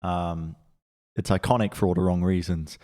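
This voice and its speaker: A man's voice: low voice, steady tone, moderate pace, darkish tone. A man hosting a podcast, chatting casually and steering the talk with wry jokes. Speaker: podcast host. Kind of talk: casual chat.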